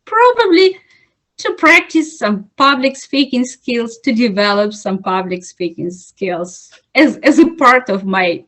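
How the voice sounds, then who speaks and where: A woman's voice: fairly high pitch, animated, a little slow, a bit bright. A woman from Russia with a Russian accent, answering interview questions as a club president, earnest and searching for words.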